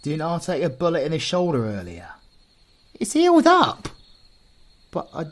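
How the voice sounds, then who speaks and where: A man's voice: on the high side, wildly swooping pitch, normal speed. A man with a British accent playing a cocky, crude, teasing supervillain in an audio roleplay, brash and full of slang. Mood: brash, exasperated. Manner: brash, slangy, mocking, flirtatious.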